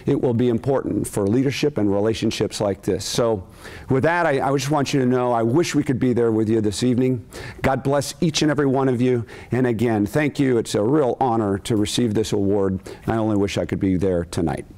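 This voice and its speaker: A man in his fifties, an army general, delivering a formal acceptance speech to camera in an earnest, deliberate manner with emphatic repetition. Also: mid-range voice, a little mellow. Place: furnished room indoors, seated in an armchair.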